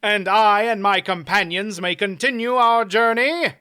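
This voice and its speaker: A man voicing a confident, courtly knight who speaks in formal archaic phrasing, loud and ringing. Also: sits high, a little slow, clean tone, dry recording, voice pushed up. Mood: cheerful. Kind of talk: audiobook character voice.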